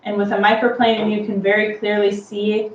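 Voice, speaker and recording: A woman's voice: mid-range voice, completely flat delivery, brisk, lo-fi sound. A woman giving a casual, chatty, unscripted baking how-to, explaining each step as the host with light self-deprecation. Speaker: host of a home baking tutorial. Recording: roomy, distant mic with clear background noise.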